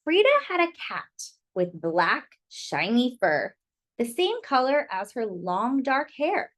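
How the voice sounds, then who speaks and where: A woman's voice: on the high side, big pitch swings, slow, fairly bright tone. A woman reading a picture book aloud to young children, expressively and with little asides to her listeners.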